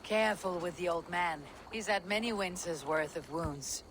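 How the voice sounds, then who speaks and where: A man as a young Viking warrior leader with a fairly high male voice. He speaks in a measured, slightly formal way with a teasing dry wit, as in a historical video game. Noticeable ambient noise runs behind him. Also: natural voice. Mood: concerned, steady. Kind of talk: dramatic video game acting.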